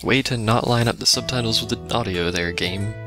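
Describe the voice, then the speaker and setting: A man's voice: fairly low pitch, brisk, over music. A man giving casual, dry and sarcastic commentary on a video game he is playing. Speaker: let's play commentator.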